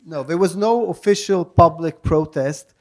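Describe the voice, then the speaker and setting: A man's voice: fairly high pitch, unhurried, a little mellow. A man moderating a public panel, explaining and translating off the cuff, carefully and with repeated self-corrections. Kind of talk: spontaneous panel answers and spoken translation. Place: live public event with audience questions.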